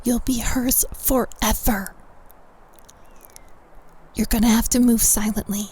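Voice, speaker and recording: A woman playing a friendly, chatty young schoolgirl rescuer in a roleplay audio drama, with a casual, animated delivery. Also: on the high side, slow, slightly rough. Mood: urgent, hushed.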